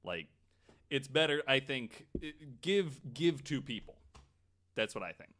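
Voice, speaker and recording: A man's voice: mid-range voice, very animated, unhurried, fairly bright tone. A man chatting in a casual, unscripted way as a panelist on a podcast.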